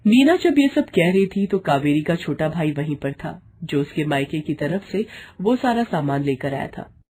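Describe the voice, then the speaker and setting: A woman's voice: low voice, very animated, normal speed, mellow, a little hiss or hum. A woman reading a scripted moral story as its narrator.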